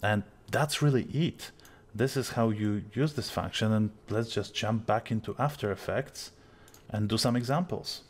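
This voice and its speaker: A young man from Poland with a Polish accent, walking through a software tutorial step by step in a relaxed, explanatory way. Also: on the low side, normal speed, slightly rough. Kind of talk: screen-recorded software tutorial.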